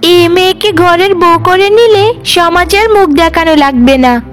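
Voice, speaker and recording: Woman putting on a shrill-pitched, haughty village visitor. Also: measured pace. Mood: disgusted. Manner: indignant, contemptuous. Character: haughty, disgusted visitor from a groom's family. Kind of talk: animated cartoon drama voice. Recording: music under the voice.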